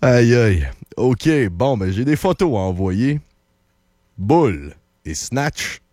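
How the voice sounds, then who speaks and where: A man's voice: mid-range voice, sing-song, slow pace, dark tone, no echo, studio quality. A man with a Québécois French accent hosting a late-night call-in radio show, joking and laughing often between remarks. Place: radio studio. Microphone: studio microphone.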